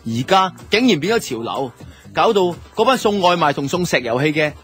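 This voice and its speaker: A man's voice: fairly high pitch, sing-song, fairly quick, a bit bright, faint music behind. A man with a Hong Kong Cantonese accent playing a guilty, self-justifying two-timing boyfriend who narrates a radio drama in colloquial first person. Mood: wry, musing. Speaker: lead actor and narrator.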